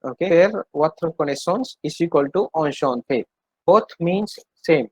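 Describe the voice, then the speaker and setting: A man's voice: a little high, lively intonation, unhurried, breathy edge. A man with an Indian accent teaching a French lesson, translating line by line, repeating phrases and checking understanding with short questions.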